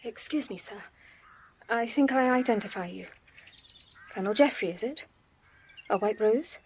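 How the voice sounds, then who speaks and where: A woman's voice: on the high side, moderate pace, slight background noise, close to an everyday voice. A woman playing an anxious, genteel young Georgian lady in a period audio drama, speaking formally and urgently. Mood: guarded politeness.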